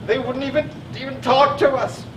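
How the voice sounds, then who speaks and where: Man playing a high-pitched, pedantic chatterbox on stage. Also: little pitch movement, moderate pace. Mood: insistent, probing. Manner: pedantic, argumentative, insistent. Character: talkative needling know-it-all man with psychiatric illness. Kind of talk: live one-act stage play acting. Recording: echoey stage from far off, noisy audience.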